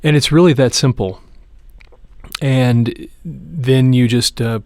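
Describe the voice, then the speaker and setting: A man's voice: medium pitch, takes it slowly, a little mellow. A man presenting a hands-on repair tutorial, explaining each step in an informal, plain-spoken way. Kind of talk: casual hands-on how-to demonstration.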